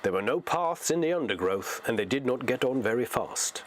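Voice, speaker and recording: Expressive young Norwegian man reading. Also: mid-range voice, normal speed, a little hiss or hum. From Norway. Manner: expressive, restarts often when chatting. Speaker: livestreamer reading a novel aloud. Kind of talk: live reading and casual chat.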